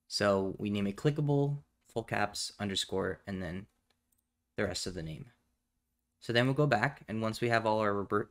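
A man with a fairly low voice narrates a software tutorial step by step. He explains each action casually and plainly, as someone talking while working at a computer. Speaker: tutorial host. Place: indoors at a computer, voice over screen capture.

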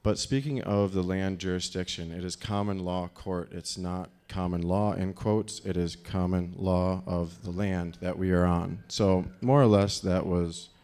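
A man in his thirties with a deep voice, giving a rambling live talk on a dark stage into a handheld microphone, full of fillers. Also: steady tone, unhurried, few pauses, clear, resonant tone. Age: 30s. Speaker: speaker.

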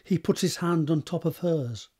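A man's voice: fairly high pitch, moderate pace, darkish tone. A man narrating stage directions for an audio drama in a scripted reading style.

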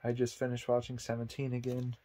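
A man's voice: mid-range voice, monotone, a little fast, mellow, slight background noise. A young man vlogging alone from a cold abandoned cabin at night, nervous and self-deprecating, filming on a handheld camera. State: cold and sleepy. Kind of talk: casual vlog to camera.

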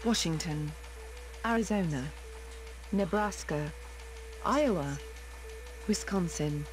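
A woman's voice: on the low side, takes it slowly, slight background noise. A synthetic woman's voice making clipped, one-word announcements as an automated alert.

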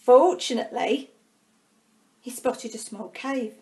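A woman with a high voice reads a storybook aloud for young children. Her delivery is slow and expressive. She stretches key words and leaves dramatic pauses between phrases. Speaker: primary school staff member reading a storybook.

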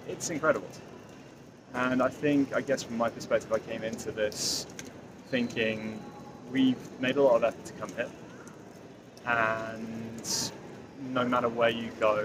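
A man in his thirties vlogs outdoors to a handheld camera, thinking aloud in a reflective, hedging way. His voice sits mid-pitched for a man, with clear outdoor noise behind. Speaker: travel vlogger. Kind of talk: casual travel vlog talk to camera. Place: outdoors at a cave temple site. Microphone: handheld camera selfie framing.

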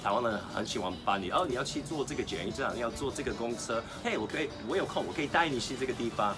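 A man's voice: mid-range voice, racing, over music. A man from New York with an American accent, hosting a casual, breezy interview with plenty of fillers and questions. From New York, United States. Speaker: host of a video channel. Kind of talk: casual chatty interview, switching between English and Mandarin. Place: outdoor garden seating by apartment buildings.

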